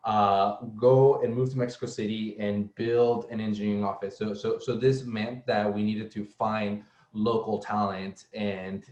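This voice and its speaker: A man's voice: fairly low pitch, roomy sound, dull audio. A young man from El Salvador, speaking as a guest on a casual panel and often stumbling over repeated words. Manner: halting, many repeated words and fillers. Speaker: guest speaker, software engineer.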